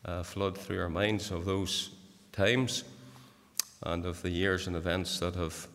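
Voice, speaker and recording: An older man with a Northern Irish accent preaching from the pulpit. His voice is fairly low and grows emphatic as he urges his hearers on. The church carries a long reverb behind him. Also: little pitch movement, slow, fairly bright tone. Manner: earnest, rising to emphatic exhortation. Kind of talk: sermon.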